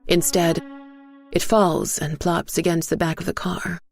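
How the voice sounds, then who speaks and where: A woman narrating a dark first-person tale in a grave, deliberate manner. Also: lowish voice, little pitch movement, creaky, over loud music. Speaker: story narrator.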